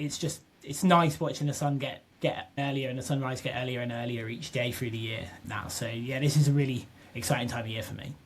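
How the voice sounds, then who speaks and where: A young man from southwest England, a podcast guest talking casually and restarting his sentences often. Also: mid-range voice. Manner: chatty, restarts often, repeats words. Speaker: podcast guest, business co-founder. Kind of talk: casual interview chat.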